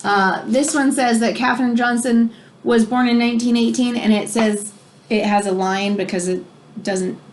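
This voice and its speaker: A woman reading a children's book aloud in an expressive way, as if explaining it to young listeners. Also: fairly high pitch, normal speed, slight background noise. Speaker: read-aloud storyteller.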